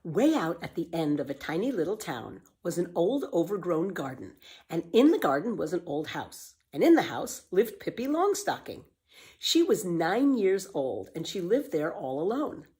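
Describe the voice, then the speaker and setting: A woman reading a children's book aloud as a storyteller, with expressive, emphatic scripted narration. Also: lowish voice, normal speed.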